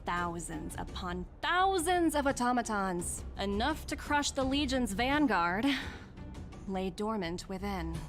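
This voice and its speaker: Smooth-talking young female corporate envoy for a game: mid-range, businesslike, confident. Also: medium pitch, very animated, slow. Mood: assured, persuasive. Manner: poised, persuasive, businesslike. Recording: light music behind the voice.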